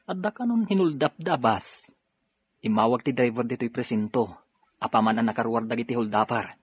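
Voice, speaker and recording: A man's voice: mid-range voice, brisk, husky, dry recording, dull audio, lightly acted. A man with an Ilocano Filipino accent acting a dutiful, serious policeman in an audio drama. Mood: serious, urgent.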